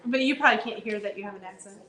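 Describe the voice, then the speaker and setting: A woman's voice: mid-range voice, racing, bright, forceful tone. A woman from the Midwest hosting a live interview, friendly and curious, asking lots of questions. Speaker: show host. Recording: echoey room with crowd noise behind.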